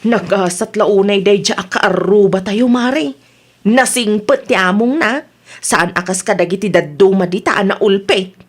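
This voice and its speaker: A woman's voice: medium pitch, a little slow, lightly acted. A woman with an Ilocano accent playing a cheerful, gossipy village woman in a radio comedy drama.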